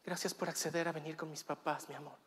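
A woman's voice: low voice, steady tone, quick pace, background noise, lightly acted. A woman playing a devoted young wife from Colombia in an improvised comedy scene, talking earnestly and pleading with her husband. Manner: earnest, pleading, breaks into laughter. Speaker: improv performer. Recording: long hall reverb.

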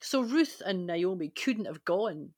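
A woman's voice: fairly low pitch, lively intonation, measured pace, a little mellow. A woman on a discussion panel, speaking conversationally in an earnest, reflective way. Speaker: podcast panellist.

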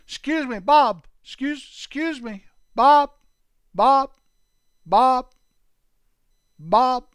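A man's voice: sits high, measured pace, clear, resonant tone, no echo, pitched above the performer's own voice. A man doing a cheery boy named Forky. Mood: cheerful, proud. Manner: ends nearly every sentence with his pal's name. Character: eager young boy Forky, cheerful know-it-all pal.

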